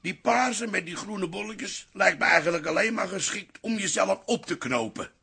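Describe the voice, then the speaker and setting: An actor playing a teasing, grumbling older father-in-law in a radio drama, blunt and husky. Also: high voice, lively intonation, moderate pace, heavily acted. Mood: gruff, needling. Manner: blunt, sardonic, loud.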